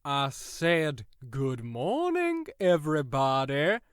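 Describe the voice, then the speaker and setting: An actor playing a gloating prison overseer in an audio drama, mock-cheerful and grandiloquent. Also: male voice, a little high, huge pitch swings, slow pace, noisy background, full character voice. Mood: gleeful menace.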